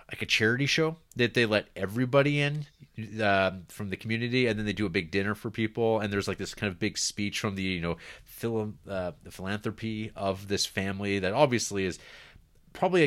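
A man's voice: on the low side, a bit bright. A man co-hosting a podcast, chatting casually about a film, swearing and acting out quotes. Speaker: podcast co-host.

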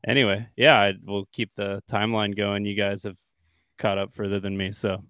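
A man's voice: lowish voice, flat delivery, normal speed, fairly bright tone, slight background noise. A man co-hosting a podcast who asks questions hesitantly, with many restarts. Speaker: podcast co-host. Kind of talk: casual podcast interview.

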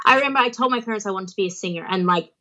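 A woman's voice: medium pitch, racing, pushed and bright, lo-fi sound. A young Australian woman chatting as an interview guest, with a phlegmy throat, laughing often and using many fillers. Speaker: guest, pro wrestler. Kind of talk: casual interview chat.

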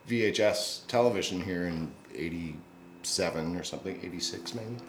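A man's voice: deep voice, normal speed. A man talking casually on a podcast, telling rambling stories with many fillers. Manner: rambling, chatty storyteller. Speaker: guest musician. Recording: echoey room with faint noise.

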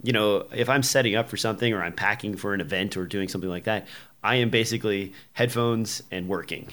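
A man's voice: lowish voice, fast, fairly bright tone. A man hosting a casual tech podcast, talking loosely with lots of fillers. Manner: rambling, many fillers, laughs easily. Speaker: podcast host.

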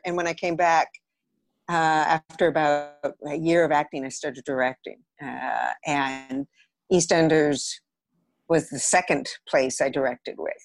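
A woman's voice: on the low side, dry recording. A woman with an American accent, a podcast guest and theatre director chatting casually about her work. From Los Angeles area, United States. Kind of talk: casual interview, telling career stories.

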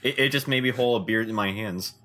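A man's voice: lowish voice, fast, a bit bright. A young man co-hosting a casual podcast, making wry jokes and explaining things. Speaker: podcast co-host.

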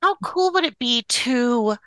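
A young woman with a cold and a raspy voice, chatting and giggling as a podcast co-host. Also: on the high side, expressive, measured pace, pushed and bright, dry recording. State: has a cold. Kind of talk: casual chat.

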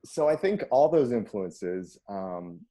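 A man with a very low, mellow baritone talks loosely about music. He rambles with frequent ums and breaks into easy laughs, relaxed and conversational. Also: slow pace. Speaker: singer. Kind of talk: casual conversation.

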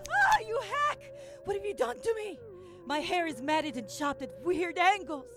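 A woman's voice: very high voice, big pitch swings, unhurried, noisy background, full character voice. A woman playing an outraged, melodramatic salon customer in a comedy spoof. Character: shrieking outraged salon customer with ruined hair.

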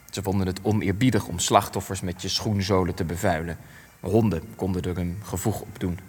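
Radio play role: old veteran, deep-ish, deliberate, defensive and tired, with long hall reverb. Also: male voice, husky. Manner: deliberate, rhetorical, halting with hesitations. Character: weary, defensive elderly war veteran being interviewed. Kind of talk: Dutch radio play acting.

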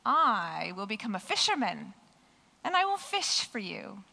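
A hoarse woman voicing a patient, devoted mother bunny from a storybook, in a gentle read-aloud voice. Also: mid-range voice, big pitch swings, a little slow, heavily acted. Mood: tender. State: croaky, hoarse voice.

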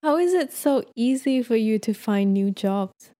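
A woman's voice: fairly high pitch, expressive, unhurried, mellow, no room sound, studio quality. A woman hosting a podcast, asking questions and encouraging her guest in a casual chat. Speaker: podcast host.

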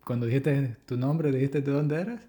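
A man's voice: medium pitch, normal speed, a crowd in the background. Teasing man hosting a casual chat. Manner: teasing, bantering, leads the questions. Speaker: podcast host.

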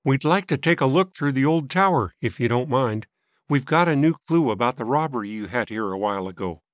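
A man's voice: mid-range voice, expressive, fairly quick, dry recording, studio quality, lightly acted. A man voicing a polite, earnest, confident teenage boy detective in an audiobook. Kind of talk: audiobook character voice.

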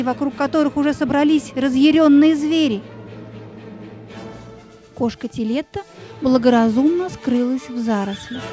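A woman reading expressive scripted narration for a fairy-tale audio play, with music behind her. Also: sits high.

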